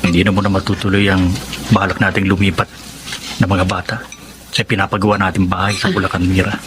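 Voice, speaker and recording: Casting: male actor for responsible, worried Filipino husband, lowish voice, sober radio drama read, background ambience. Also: level tone, fast talker, noisy background, lightly acted. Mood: worried, measured. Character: dutiful, worried husband and son, father of children. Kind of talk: Tagalog radio drama acting.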